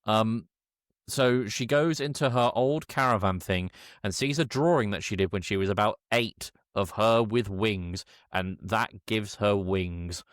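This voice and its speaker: A man co-hosting a podcast, giving a casual comedic film recap in a halting, irreverent way with mock-quoted dialogue. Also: lowish voice, dry recording, studio quality. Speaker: podcast co-host.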